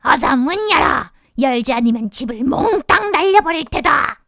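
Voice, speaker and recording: A woman voicing a greedy, boastful big bad wolf for a children's storybook, with a creaky, threatening, theatrical delivery. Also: high voice, lively intonation, moderate pace, a bit bright, no room sound, studio quality, full character voice. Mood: gloating, menacing.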